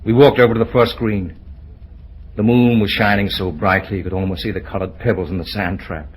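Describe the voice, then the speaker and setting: A man playing a bashful, lovestruck young man in an old-time radio drama, with an earnest, halting delivery. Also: fairly low pitch, steady tone, close to an everyday voice. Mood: tender, yearning. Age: young adult. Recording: noisy vintage radio drama recording.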